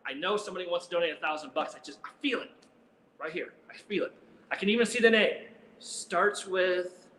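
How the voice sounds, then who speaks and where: A man with a high, forceful voice works as a fundraising host, urging people informally and emphatically. He is in an echoey space with faint noise. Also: brisk. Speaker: fundraiser host at a radio station. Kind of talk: live unscripted donation appeal.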